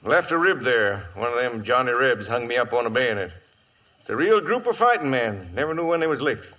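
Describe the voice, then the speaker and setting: A gruff, bright-voiced cavalry sergeant. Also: male voice, medium pitch, big pitch swings, brisk, dull audio. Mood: matter-of-fact. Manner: clipped, forceful. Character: gruff, plain-spoken cavalry sergeant, battle veteran. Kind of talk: old radio western acting.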